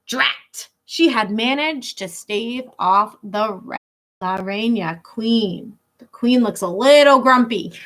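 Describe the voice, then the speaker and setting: A woman teacher reading a picture book aloud to young pupils, pausing to explain words in simple terms. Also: high voice, sharp tone.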